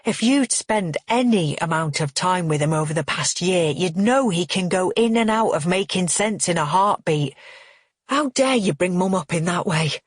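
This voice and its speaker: Woman playing an exasperated, snapping man, husky. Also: fairly high pitch, lively intonation, slow pace, hardly any pauses, dry recording, studio quality, voice pushed up. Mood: exploding. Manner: forceful, accusatory, weary. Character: angry, exasperated, snapping grown man, narrator's brother.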